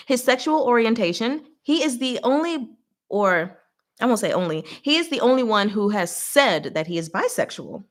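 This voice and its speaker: A woman hosting a casual live recap, talking in an expressive, playful, giggly way with emphatic asides. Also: medium pitch. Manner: giggly, expressive, laughs often while talking. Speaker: host of a live recap and discussion. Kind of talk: casual livestream chat recapping a story.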